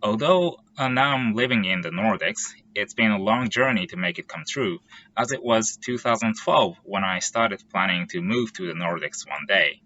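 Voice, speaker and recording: A man's voice: lowish voice, lively intonation, sharp tone, highs cut off, slight background noise. A man from Japan, giving a careful, methodical scripted explainer as a vlogger. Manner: careful, measured and methodical, lays out points in order.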